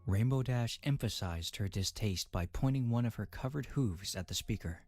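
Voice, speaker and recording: A man narrating a dramatic first-person story in a brooding, measured manner. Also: on the low side, a little mellow, no echo. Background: faint music.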